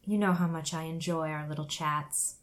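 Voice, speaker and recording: A woman voicing a dry, polite young man with a deadpan, formal manner. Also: sits low, moderate pace, lightly acted.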